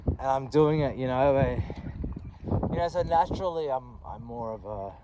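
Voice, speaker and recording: A man in his late thirties talking candidly about his life in a reflective, halting way, often restarting and adding "you know". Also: mid-range voice, heavy background noise. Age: 30s. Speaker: vlogger. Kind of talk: personal reflective monologue.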